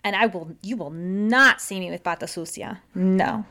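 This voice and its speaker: A woman's voice: mid-range voice, normal speed, sharp tone. A woman co-hosting a podcast, talking in a chatty, upbeat way and laughing often. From United States. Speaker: podcast co-host. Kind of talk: casual podcast chat.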